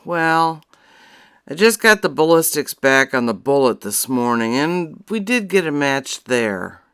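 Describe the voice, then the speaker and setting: A woman's voice: low voice, very animated, unhurried, clean tone, no echo, clean studio sound, exaggerated voice. A woman playing a blunt, wry police detective in a scripted radio drama. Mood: matter-of-fact.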